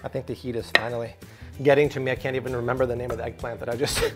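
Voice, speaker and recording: An upbeat man in his thirties cooks in a home kitchen, joking with the people behind the camera. His voice sits in an everyday middle pitch. Soft music and pan sizzle run quietly behind him. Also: very fast. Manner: energetic, jokey, chatty to the crew. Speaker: cooking show host. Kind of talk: upbeat cooking demo.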